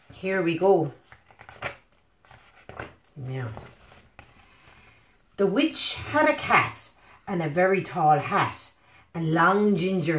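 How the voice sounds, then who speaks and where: A woman with an Irish accent reads a picture book to young children, animated and encouraging, often asking them questions. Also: on the low side, a little hiss or hum. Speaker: preschool teacher. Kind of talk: interactive storybook reading for young children. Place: living room indoors. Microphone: phone at about arm's length. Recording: roomy home phone recording.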